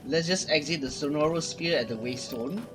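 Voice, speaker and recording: A man's voice: a little high, normal speed, over music, lightly acted. A man voicing an easygoing young adventurer in a video game. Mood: casual.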